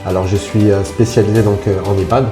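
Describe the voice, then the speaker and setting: A man's voice: fairly low pitch, steady tone, fast talker. A man in his forties from Congo, a podcast guest talking casually and hesitantly about his life. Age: 40s. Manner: hesitant, many fillers and restarts, informal. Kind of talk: casual interview talk. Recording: roomy echo with faint music.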